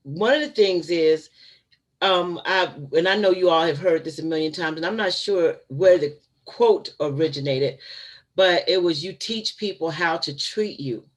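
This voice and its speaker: A woman's voice: fairly low pitch, a little slow. Woman in her 50s doing a casual home livestream, chatty, laughs a lot, talks right to viewers. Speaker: channel host.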